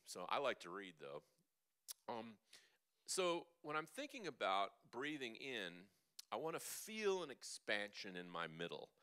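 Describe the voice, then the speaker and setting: A man's voice: lowish voice, sharp tone, smooth. A man teaching a vocal seminar, chatty and jokey, with playful asides to the room. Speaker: vocal coach.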